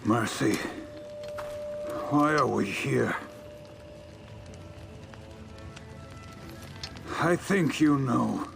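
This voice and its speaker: An actor playing a weary, honor-bound knight who speaks in a rough, measured, reproachful voice. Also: male voice, mid-range voice, lively intonation, exaggerated voice. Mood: grave. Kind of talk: video game cutscene acting. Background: score music.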